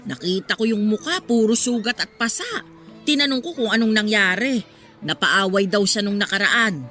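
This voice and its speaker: A woman's voice: mid-range voice, expressive, moderate pace, bright, forceful tone. A woman playing a nosy, chatty middle-aged barbecue vendor in a Tagalog radio drama, with a gossipy, scolding manner and a Filipino accent. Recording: music bed under the voice. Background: music.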